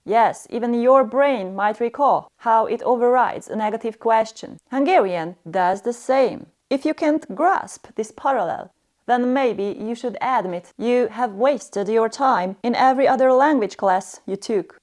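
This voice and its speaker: A woman's voice: a little high, measured pace, a little mellow. A woman narrating a scripted language lesson as a stern, harsh and demanding teacher.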